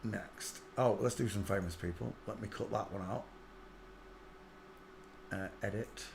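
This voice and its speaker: A man's voice: lowish voice, a little slow, gravelly, background noise. A man hosting a livestream, rambling to himself as he comments on photos. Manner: mutters to himself while working, trails off into asides. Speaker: livestream host.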